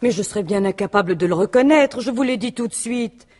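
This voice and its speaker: An actress playing a proper, easily flustered widow in a French radio mystery in 1950s style, speaking formally and emphatically. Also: female voice, medium pitch, animated, a little fast, a little mellow, close to an everyday voice. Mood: indignant. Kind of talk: French radio drama acting in 1950s manner.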